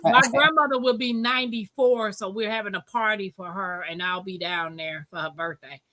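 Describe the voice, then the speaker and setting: A woman's voice: medium pitch, moderate pace, bright, forceful tone, low-quality recording. A woman, chatting casually and telling stories as a co-host on a group live stream. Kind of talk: casual group chat, storytelling.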